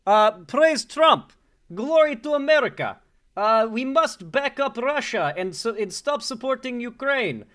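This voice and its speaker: A man's voice: very high-pitched, lively intonation, measured pace, fairly bright tone, pitched above the performer's own voice, exaggerated voice. A man putting on the voice of a mocking fake-Texan Russian troll who chants stiff slogans. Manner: exaggerated, stilted slogans.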